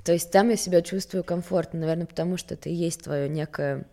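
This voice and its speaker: A woman's voice: fairly low pitch, fairly even intonation, fast, dark tone. A young woman from Sweden, a model and interview guest, talking candidly and self-mockingly in a casual rambling way. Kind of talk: casual chat.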